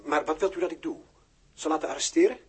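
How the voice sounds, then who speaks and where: A man's voice: a little high, quick pace, husky. An actor playing an uneasy, deferential henchman reporting hesitantly to his superior in an old radio drama. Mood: puzzled. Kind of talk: 1960s radio drama acting.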